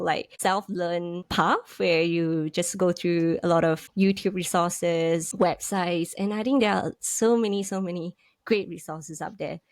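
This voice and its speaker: A woman's voice: fairly low pitch, no echo. A woman in her thirties with a Singaporean English accent, a podcast guest explaining her career thoughtfully and often restarting phrases. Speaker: guest, UX manager. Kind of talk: podcast interview.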